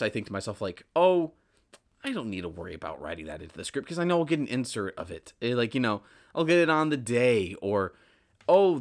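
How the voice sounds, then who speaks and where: A young American man hosting a podcast solo, talking casually in a rambling way and acting out examples with animation. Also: medium pitch. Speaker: podcast host.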